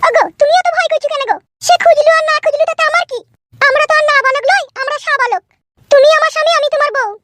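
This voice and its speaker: A devoted runaway wife from a village cartoon, extremely high and very bright. She coos and insists at a breakneck pace over music. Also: steady tone, very fast, no echo. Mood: devoted, insistent. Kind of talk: comic village cartoon dubbing. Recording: music under the voice.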